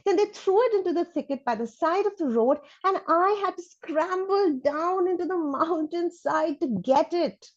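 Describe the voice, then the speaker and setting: A woman voicing a resentful, curious schoolchild's letters in an expressive, candid read-aloud from a children's storybook. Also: very high-pitched, normal speed, hardly any pauses, dull audio, pitched above the performer's own voice. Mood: upset, resentful.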